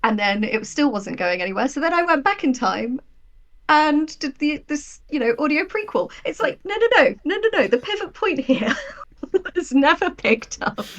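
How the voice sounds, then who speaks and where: A woman's voice: high voice, lively intonation, moderate pace. Chatty woman writer in casual interview. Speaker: guest, audio drama writer.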